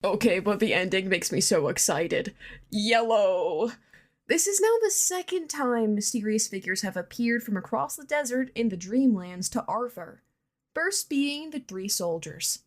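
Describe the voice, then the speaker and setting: A woman's voice: medium pitch, animated, unhurried. A woman sending a listener voice message with casual, prepared fan commentary.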